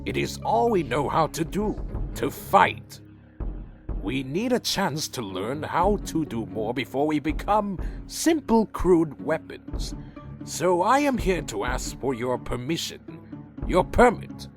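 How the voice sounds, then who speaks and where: Man acting an earnest, high-voiced vampire clan leader. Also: big pitch swings, slow, over music, heavily acted. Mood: deferential, pleading. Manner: formal, solemn, dramatic. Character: earnest, troubled male vampire clan leader, proud yet deferential. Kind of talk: audio drama narration and dialogue.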